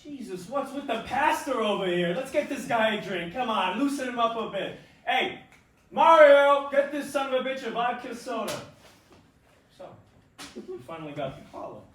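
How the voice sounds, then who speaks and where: Brash young male athlete, played by an actor, high voice, boastful, needling, stage drama, heavy room echo. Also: expressive, normal speed, fairly bright tone. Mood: brash, loud. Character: brash, cocky young male big-league ballplayer. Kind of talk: staged reading of a play. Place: indoor theatre space, recorded from a distance. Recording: roomy, echoing stage, distant mic, faint noise.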